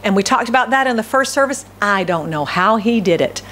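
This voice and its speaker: A middle-aged American woman pastor preaching a sermon from a podium in a church hall, with a loud voice, repeating key phrases and asking the congregation questions. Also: mid-range voice, lively intonation, a little fast. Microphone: podium microphone.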